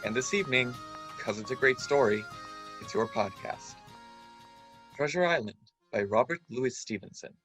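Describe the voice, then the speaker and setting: A man playing an earnest storyteller who recalls a boyhood adventure, narrating a radio play in a measured, literary way. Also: mid-range voice, lively intonation, fairly bright tone, faint music behind, lightly acted, poor audio. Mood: introductory, theatrical.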